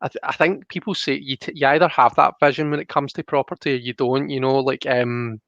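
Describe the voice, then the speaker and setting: A young man chats as a live stream host, with an ordinary mid-pitched voice. He rambles and thinks aloud in a casual way. The sound is dry and slightly dull. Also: fairly even intonation, a little fast, a bit bright, no room sound. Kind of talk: casual chat, reading out viewer comments.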